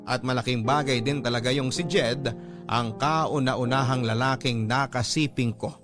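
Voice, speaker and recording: A man's voice: mid-range voice, steady tone, normal speed, lightly acted. A man with a Filipino accent narrating a young woman's love story in the first person, as a confiding radio drama host. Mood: regretful, confiding. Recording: prominent music bed.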